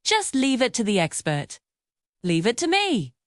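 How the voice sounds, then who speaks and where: A woman's voice: fairly high pitch, wildly swooping pitch, measured pace, no room sound, clean studio sound. A woman voicing a boastful, smug male fox in a children's animal story. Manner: showy, smug, dismissive.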